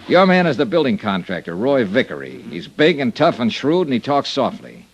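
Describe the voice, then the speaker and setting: A man's voice: mid-range voice, very animated, moderate pace, natural voice. An American man playing a tough, commanding investigator in an old-time radio drama, with clipped, hard-boiled delivery. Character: tough, commanding insurance investigator, brisk and determined. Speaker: lead actor and narrator of a detective radio serial.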